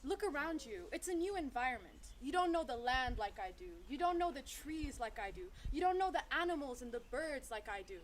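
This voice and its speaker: A woman voicing a big, kindly elephant mentor in a children's story, thoughtful and gently questioning. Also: sits high, big pitch swings, a little fast, few pauses.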